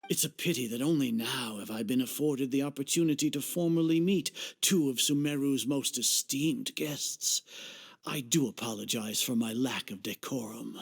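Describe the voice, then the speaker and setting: An actor playing a pompous, coldly menacing older grand sage in a video game dub, formal and condescending, with a husky voice. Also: male voice, medium pitch, normal speed. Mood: mock courteous.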